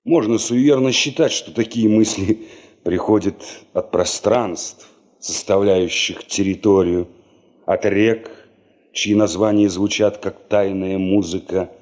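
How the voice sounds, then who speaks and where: An actor narrating a 1970s Soviet radio drama as a solemn storyteller, measured and reflective. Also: male voice, sits low, a little mellow.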